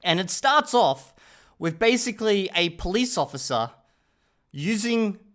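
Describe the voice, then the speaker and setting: A man talks to his viewers in a loose, rambling way, often checking in as he goes. His voice sits a little higher than most men's. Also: very animated, unhurried, fairly bright tone. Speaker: channel host reviewing his language learning. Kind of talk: casual talking-head review.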